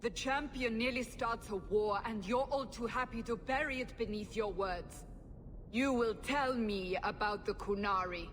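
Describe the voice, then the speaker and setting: Stern, commanding interrogator in a hall. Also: female voice, fairly high pitch, a bit bright, slight background noise. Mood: stern, demanding. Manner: imperious, deliberate. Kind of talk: video game voice acting. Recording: long hall reverb, faint music.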